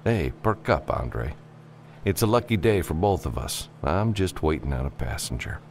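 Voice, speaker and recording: A man voicing a gruff, level-headed freelance pilot in an audiobook, casual and dry. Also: very deep voice, animated, normal speed, darkish tone, slight background noise, heavily acted. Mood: cool, probing.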